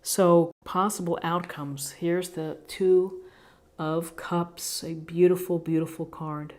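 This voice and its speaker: Low voiced lady doing a tarot reading, talks slow, pauses a lot, gently encouraging. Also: level tone. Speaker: tarot reader, host. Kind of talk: unscripted tarot reading, explaining cards.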